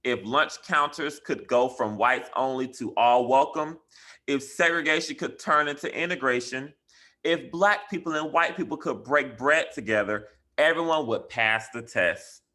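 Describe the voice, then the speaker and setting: A man reads a children's story aloud at a slow, measured pace. His mid-pitched voice is bright and forceful. He phrases deliberately, leaning hard on key words for dramatic effect, like an educator reading to young pupils. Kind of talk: expressive picture-book read-aloud for children.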